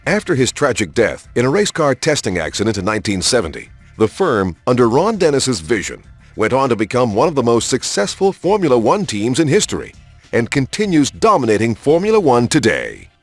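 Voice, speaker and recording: A man reading scripted promotional documentary narration in a polished, measured announcer style, as for a car showcase in a video game. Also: medium pitch, huge pitch swings, no echo. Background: prominent background music.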